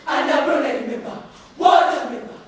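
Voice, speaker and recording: A teenage Kenyan girl reciting a poem in a theatrical, emphatic spoken-word style with deliberate pauses. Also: high voice. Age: teens. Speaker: high school student reciting a poem. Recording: echoey hall from far off, crowd noise.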